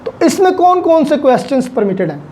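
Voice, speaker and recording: A woman's voice: sits high, big pitch swings, fairly quick, dark tone, slight background noise. A woman with a Pakistani accent lecturing on law in a didactic way, mixing in English terms and repeating key points for emphasis.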